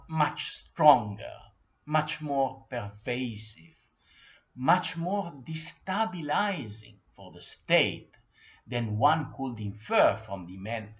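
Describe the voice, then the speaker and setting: Italian-accented male history lecturer, slow and lively in pitch, throat-clearing habit. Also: muffled recording.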